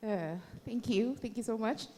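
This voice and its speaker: A woman's voice: a little high, unhurried, a little mellow, slight background noise. A woman speaking informally to an audience as a panel moderator. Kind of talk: public address introducing a panel.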